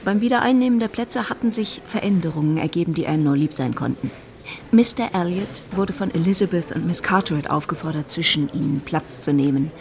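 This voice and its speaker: A woman giving measured, composed literary narration in a radio play. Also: fairly low pitch, expressive, darkish tone.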